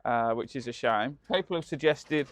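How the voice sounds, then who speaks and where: A man's voice: mid-range voice, normal speed. A man with a Birmingham accent chatting casually and explaining a truck repair in a workshop. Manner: chatty, laughing, explaining while working. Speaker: channel host.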